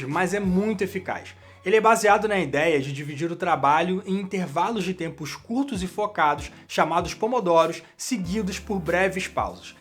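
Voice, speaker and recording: High-voiced Brazilian man explaining. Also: a bit bright. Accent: Brazilian Portuguese. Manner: direct, addresses the viewer as "you". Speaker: career channel host. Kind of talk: scripted explainer to camera.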